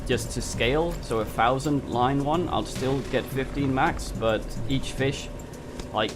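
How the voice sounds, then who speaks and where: Brief: sniffly male streamer, mid-pitched, rambling gameplay chat over loud game music. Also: unhurried. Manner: thinking aloud, rambling and musing. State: a little sniffly from a cold. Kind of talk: casual live gameplay commentary. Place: at home at his computer. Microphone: desk microphone.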